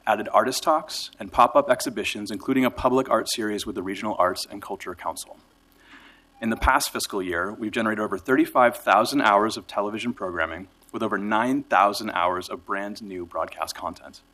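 A man delivering a prepared, formal and measured speech as a nonprofit director in a council chamber. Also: fairly low pitch, a bit bright, rough recording.

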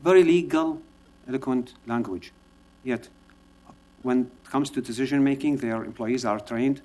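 A man who grew up in Iraq, giving earnest, halting testimony to a city council, with many pauses and filler sounds. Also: medium pitch, darkish tone. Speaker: public testimony speaker. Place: council chamber.

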